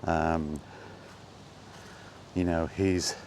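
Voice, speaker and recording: Man, low-pitched voice, rambling casual chat, halting pauses, outdoor recording with some wind noise. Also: flat delivery, creaky, a little mellow. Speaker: gaming vlogger. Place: outdoors, walking. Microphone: microphone with wind guard.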